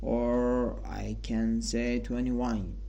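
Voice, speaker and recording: A man in his twenties hosting a solo talk about a quote, speaking in a halting, repetitive way with many fillers and restarts. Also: medium pitch, steady tone, gravelly, dark tone. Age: 20s. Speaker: host of a quote podcast. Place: sitting at home in front of a mirror.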